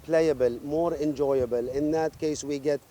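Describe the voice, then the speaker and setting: A man's voice: fairly high pitch, fairly even intonation, a little slow, over music. A man speaking for a golf club in an interview, hesitant and full of fillers and restarts.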